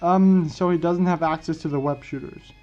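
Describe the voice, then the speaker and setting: A man's voice: a little high, fairly even intonation, moderate pace, darkish tone. A man telling a fan-fiction story informally, often repeating and restarting his phrases. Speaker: host of a fan what-if story series. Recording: dull lo-fi mic, music behind. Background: background music.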